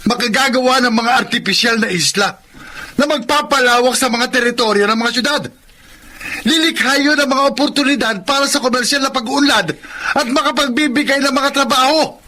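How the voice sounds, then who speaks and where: A man's voice: extremely high pitch, brisk, pushed and bright. A man with a Filipino accent acting in a radio drama as an argumentative fishing villager with an urgent manner. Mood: defensive.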